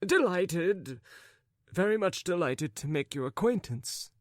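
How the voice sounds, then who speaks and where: A man voicing a foolish gentleman in a raccoon coat who is flustered, stammers and repeats himself. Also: high voice, sing-song, a little slow, no room sound, clean studio sound, pitched above the performer's own voice. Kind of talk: audiobook character voice.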